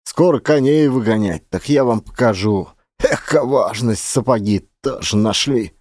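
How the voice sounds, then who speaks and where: A male actor voicing a surly, quarrelsome village thief, grumbling gruffly in an audiobook play. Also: medium pitch, measured pace, a little mellow, dry recording, clean studio sound, lightly acted.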